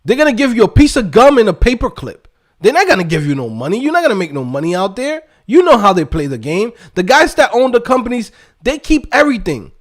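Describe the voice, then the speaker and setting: A man with a high-pitched voice gives a casual motivational rant to his online viewers. His intonation swings widely as he talks. He is emphatic and streetwise, leaning on slang and checking in with short tag questions. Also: big pitch swings, fast talker. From Dominican Republic. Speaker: YouTube host and developer coach.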